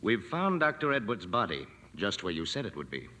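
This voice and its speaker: A stern, official police sergeant with a lowish, forceful voice. His delivery is vintage radio drama, in a reverberant recording with music behind. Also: very animated, fairly quick, exaggerated voice. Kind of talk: 1940s radio drama acting.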